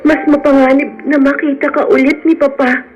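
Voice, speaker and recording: A woman acting as a sheltered, gentle rich young lady with a high, fearful voice. It is Filipino radio drama, heard in dull lo-fi sound with faint music behind her. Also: level tone, fairly quick, lightly acted. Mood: fearful, sorrowful.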